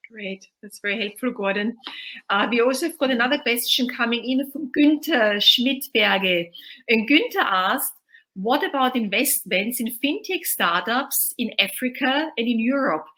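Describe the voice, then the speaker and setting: A woman's voice: fairly high pitch, expressive, moderate pace, sharp tone, poor audio. A woman from Austria, hosting a panel discussion in a chatty way, often filling in with "you know". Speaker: host and moderator. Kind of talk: webinar panel moderation.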